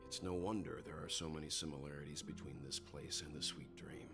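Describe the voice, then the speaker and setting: A man voicing a composed, inquisitive gentleman traveler in a video game, measured and polite. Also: very deep voice, steady tone, creaky, music in the background. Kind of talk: English video game voice acting.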